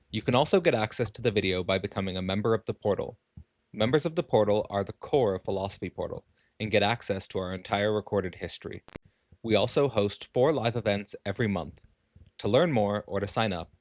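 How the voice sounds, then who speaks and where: Low-voiced man reading a promo. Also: dry recording, studio quality. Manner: even, read-aloud delivery. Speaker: channel announcer. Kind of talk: scripted promo read.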